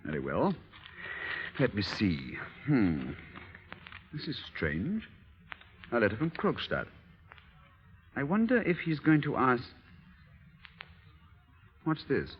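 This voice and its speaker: An actor playing an adoring, condescending husband in theatrical 1930s radio style, on a muffled old recording. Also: male voice, medium pitch, wildly swooping pitch, slow pace, long pauses. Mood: teasing, then shocked. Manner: patronizing, ardent, theatrical. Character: adoring, condescending middle-class husband in a period drama.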